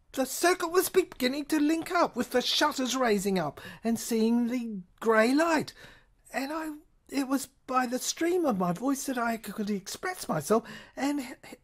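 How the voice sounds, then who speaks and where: A woman with a fairly high voice narrates a science fiction audio drama in the first person. Her delivery is intense and halting, circling back over phrases. At times she sinks toward a whisper.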